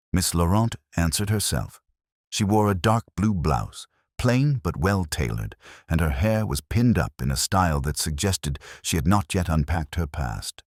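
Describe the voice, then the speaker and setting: A man reading a scripted first-person mystery story in a measured, literary and dramatic way. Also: extremely low pitch, expressive, no echo, studio quality. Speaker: audiobook narrator.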